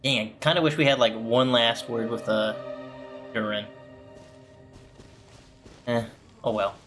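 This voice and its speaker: A man gives casual gameplay commentary in a bright, forward voice of medium pitch. He rambles in a laid-back way. Faint game music sits behind him. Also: measured pace. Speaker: gaming streamer.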